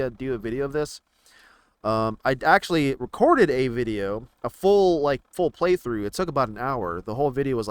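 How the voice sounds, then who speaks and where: A man giving casual, rambling commentary on video games, haltingly thinking out loud as he browses. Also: mid-range voice, clean tone, no echo. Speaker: gaming video creator.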